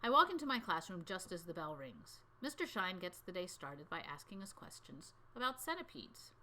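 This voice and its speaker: A woman co-hosting a children's book readalong, with expressive storybook narration and light banter. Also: on the low side, moderate pace. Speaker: co-host reading a children's book aloud.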